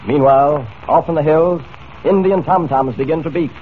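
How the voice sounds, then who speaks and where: An actor as the dramatic storyteller of a western adventure, giving scripted 1940s radio narration. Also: male voice, fairly high pitch, moderate pace, natural voice. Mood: dramatic, suspenseful. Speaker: serial narrator. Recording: tinny, noisy 1940s radio broadcast.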